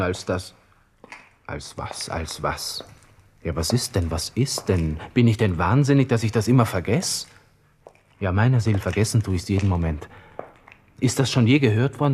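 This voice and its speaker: An actor playing a despairing, brooding young army lieutenant with a Viennese accent, talking to himself in a radio drama monologue. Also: male voice, lowish voice, a little mellow, slight background noise, close to an everyday voice. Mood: agitated, despairing. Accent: Austrian, Viennese. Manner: brooding self-talk with abrupt rhetorical questions.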